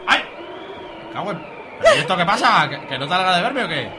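An actor in a radio serial playing a brash, excitable young hippie boyfriend. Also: male voice, sits high, fast, noisy background. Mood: excited, cheeky. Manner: brash, exclamatory. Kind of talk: audio drama acting.

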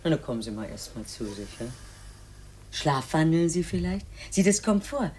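An actress in a 1950s German radio play, playing an unruffled, evasive housekeeper who soothes and brushes things off colloquially. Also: female voice, low-pitched, sing-song, moderate pace, darkish tone, husky, exaggerated voice. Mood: dismissive, soothing. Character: unruffled, evasive housekeeper, matter-of-fact and dismissive.

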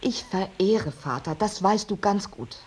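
An actress playing an earnest, devoted unmarried daughter in a German radio drama, speaking in a measured, intense way with weary dignity. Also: female voice, medium pitch, expressive. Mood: firm, devoted. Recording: radio drama with clear tape hiss.

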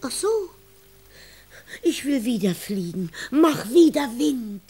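Tiny high-voiced, excitable bird granny. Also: female voice, slow pace, husky, heavily acted. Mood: eager. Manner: naive, questioning, short exclamatory phrases. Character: tiny winged bird grandma hatched from an egg, childlike and excitable. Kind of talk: radio play acting.

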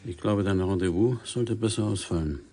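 A man playing a sober, patient newspaper editor in a radio drama, speaking in a measured, matter-of-fact way. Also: sits low, dark tone. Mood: wry.

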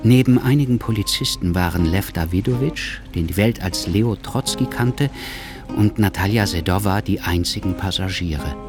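Melancholy writer narrator, man, very low voice, reflective storytelling, radio play, music behind. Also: moderate pace. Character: melancholy Cuban writer telling his tale, reflective man.

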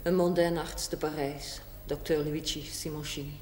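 An actress playing a worldly, unrepentant older woman in a television drama, speaking deliberately and theatrically with dry sarcasm. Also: deep voice, lightly acted. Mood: sardonic, defiant. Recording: echoey hall with steady hiss.

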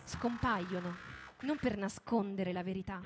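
A woman giving a dramatic scripted reading as in radio theatre, in measured phrases with deliberate pauses. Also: medium pitch, noisy background. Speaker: narrator, theatre performer. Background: music.

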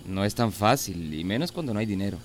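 A man's voice: lowish voice, animated, fast talker, slight background noise, lightly acted. An actor with a Central American accent playing a placating man in charge of village works in a radio drama.

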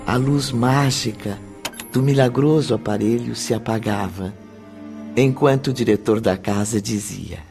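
A man narrating a spiritual radio drama as a grave, deliberate, dramatic storyteller. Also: medium pitch, gravelly, over music. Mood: grave, moved. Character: solemn male storyteller of a spiritual tale.

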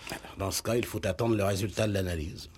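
Low, husky, cautious doctor. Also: male voice, fairly even intonation, a little mellow. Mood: pensive surprise. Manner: formal, deliberate. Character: measured, cautious doctor advising a judge. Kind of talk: 1960s French radio play acting. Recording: old radio drama recording.

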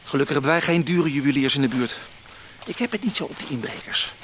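An actor playing an eager, excitable boy amateur detective in a radio play, talking colloquially. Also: a little high, fast, lightly acted. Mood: indignant, eager. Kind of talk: Dutch radio play acting. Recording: faintly noisy old radio play recording.

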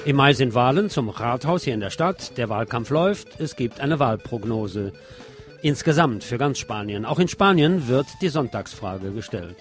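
A man presenting scripted radio news commentary as host, wry and deliberate, with emphatic phrasing. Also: mid-range voice, lively intonation, music in the background.

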